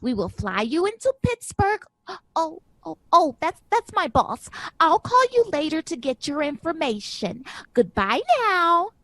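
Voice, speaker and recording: An actress playing a perky, excitable, scatterbrained woman organizer in an audio drama. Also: high voice, measured pace, dry recording, full character voice, low-quality recording. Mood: excited. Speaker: actor.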